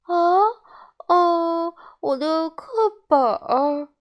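A woman's voice: very high-pitched, fairly even intonation, drawn-out words, highs cut off, pitched above the performer's own voice, full character voice. A woman voicing a naive, earnest little wooden puppet boy in a children's storybook, stammering and pleading. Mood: nervous, evasive.

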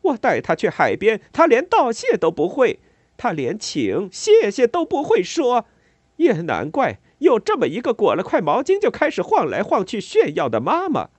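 A man voicing a distraught mother who shrieks in a sharp, trembling voice. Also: huge pitch swings, normal speed, husky, dry recording, heavily acted. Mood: hysterical fury. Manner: shouting, shrill, frantic.

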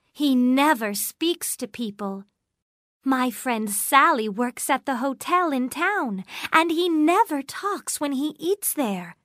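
A woman's voice: sits high, dry recording, studio quality, voice pushed up. A woman voicing an astonished, gossipy young woman in a storybook, speaking with emphatic wonder. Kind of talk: storybook character dialogue.

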